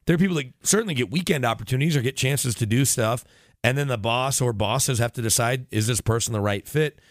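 A man's voice: mid-range voice, fast, no room sound, studio quality. A man talking sports casually as a radio broadcaster guest, explaining in long detail with tangents and self-corrections. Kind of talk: casual sports talk interview.

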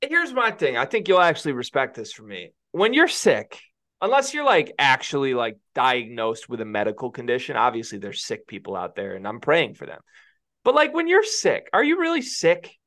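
A man's voice: medium pitch, wildly swooping pitch, moderate pace, fairly bright tone. A man co-hosting a casual sports podcast, teasing his partner and laughing often. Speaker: podcast co-host.

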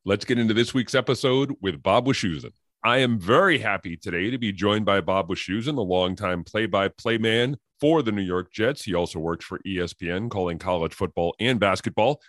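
A man's voice: fairly low pitch, brisk, a bit bright, no echo, clean studio sound. A man hosting a sports podcast, delivering prepared introductions and questions to his guest. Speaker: podcast host.

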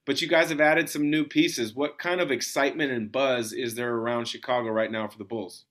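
A man's voice: medium pitch, steady tone, brisk, a bit bright. A man hosting a sports podcast, chatting casually as he interviews a guest.

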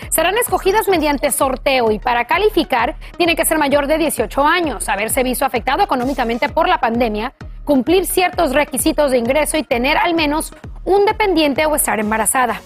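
A female anchor reads the local news in a high, clear voice. Her delivery is crisp, even and scripted, with soft music running under it. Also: fairly quick.

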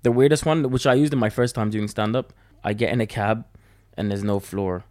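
A man telling stories with animated, laughing delivery in a casual chat. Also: fairly low pitch, a little fast, no echo. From Egypt. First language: not Arabic.

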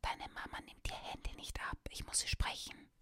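A woman's voice: moderate pace, pushed and bright, dry recording, close to an everyday voice. A woman whispering the lines of a father who fakes a wheezing, sinister voice on the phone. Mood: playful. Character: prank-calling father faking a wheezing voice.

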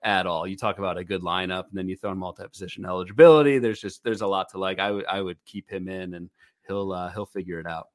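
A man in his thirties talks through a podcast segment in a relaxed, thinking-out-loud way, often restarting his sentences. His voice sits on the low side. The recording is dry and close. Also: quick pace, no room sound. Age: 30s. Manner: thinking aloud, many fillers and restarts. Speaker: podcast co-host. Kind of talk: casual fantasy baseball chat.

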